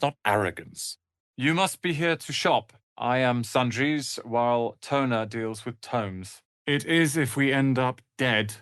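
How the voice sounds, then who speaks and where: An actor playing a proud, irritable young male wizard apprentice in a fantasy video game, haughty, theatrical and sharp-tongued. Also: mid-range voice, dry recording, studio quality.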